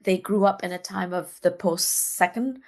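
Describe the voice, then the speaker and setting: A middle-aged woman from Singapore, a dance artist talking as an interview guest in a relaxed, chatty way, laughing often and restarting her sentences. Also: fairly low pitch, moderate pace. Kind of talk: casual interview chat.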